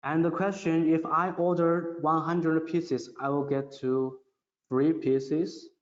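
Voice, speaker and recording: A man who is a non-native English speaker, answering audience questions as a webinar presenter, haltingly, in simple phrases. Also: on the high side, little pitch movement. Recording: muffled audio in an echoey, reverberant room.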